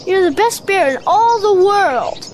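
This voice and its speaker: A woman voicing a fond, gently teasing young boy in a children's audio drama, kindly and affectionate. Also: very high voice, animated, a little slow. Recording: birdsong and clear background noise.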